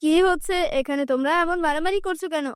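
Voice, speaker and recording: A woman's voice: sits high, fast talker, dry recording, studio quality, natural voice. A woman voicing a mild father in a cartoon story, reassuring and placating his child. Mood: scolding. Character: mild, placating adult father of a little girl.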